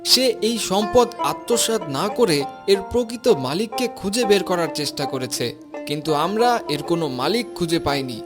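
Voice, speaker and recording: A man's voice: high voice, expressive, moderate pace. A man playing a fair-minded, authoritative village imam in a moral cartoon, speaking formally. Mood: solemn, declaring. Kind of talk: Bengali moral cartoon dialogue. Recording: music behind the voice.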